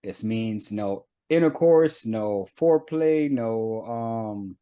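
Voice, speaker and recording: A man in his thirties from the United States shares a personal story on a chat show. His voice sits fairly low, and he speaks earnestly and reflectively, pausing to search for words. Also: animated, dark tone, clean tone, highs cut off. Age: 30s. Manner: earnest, reflective, halting with fillers. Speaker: guest. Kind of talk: candid personal testimony.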